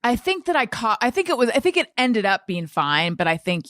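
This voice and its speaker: A middle-aged woman hosts a casual interview in a dry, close-sounding space. Her pitch moves around a lot as she chats. She is self-deprecating and tends to think out loud while forming her questions. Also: sing-song, brisk, dry recording. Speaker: podcast host and interviewer.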